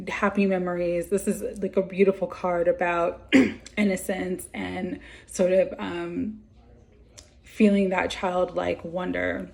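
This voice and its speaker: A woman doing a weekly tarot card reading, talking gently and meandering with many fillers while she is losing her voice. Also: lowish voice, gravelly.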